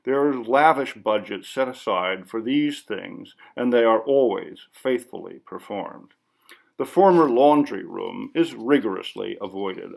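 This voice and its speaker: A man reading a literary short story aloud as scripted narration, in a measured, formal manner. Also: medium pitch, gravelly, a little mellow. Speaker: audiobook reader.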